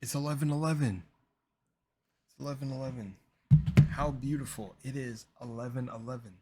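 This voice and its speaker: A young man with a slight lisp, hosting a casual reaction video, rambling with lots of fillers and jumping between loud bursts and quiet mumbles. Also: medium pitch, normal speed, very noisy.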